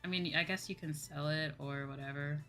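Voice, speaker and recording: A woman's voice: sits low, steady tone, a little fast, pushed and bright. A young woman livestreamer chatting casually in a slangy, exclamatory way, like a VTuber talking to her viewers. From United States. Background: background music.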